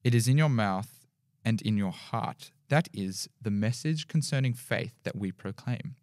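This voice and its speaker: A young man with a deep baritone voice reading scripture aloud in a small podcast studio, with small slips as he reads. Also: expressive, a little slow, a little mellow, dry recording. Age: young adult. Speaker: podcast guest. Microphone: studio mic on boom arm with headphones.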